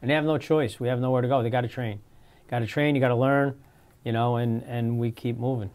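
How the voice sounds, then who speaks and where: A man's voice: mid-range voice, fairly even intonation, fairly quick, a little mellow. An American man, a fire captain, talking casually in an interview in clipped, plain-spoken phrases.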